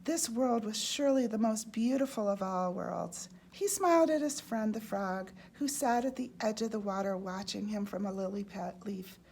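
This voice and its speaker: A woman reading a children's storybook aloud in an expressive way, as a storyteller. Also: mid-range voice, normal speed, hardly any pauses. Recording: clearly noisy background.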